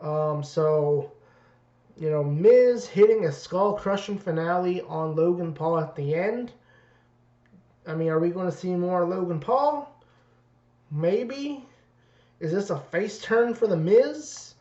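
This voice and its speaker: A man reviewing wrestling in a casual, rambling way, his voice raspy and worn. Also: high-pitched, takes it slowly, long pauses, dark tone. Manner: rambling, many fillers and restarts. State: raspy voice from two nights of events. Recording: dull roomy lo-fi audio.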